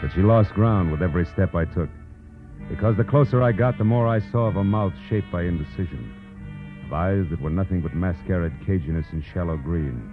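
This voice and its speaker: An American actor playing a cynical, world-weary private detective in a 1940s radio noir drama, terse and tough-talking. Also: male voice, low-pitched, a little fast, narrow, tinny audio, lightly acted. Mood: jaded. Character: hard-boiled, world-weary male private detective narrator. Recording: band-limited old radio recording with music.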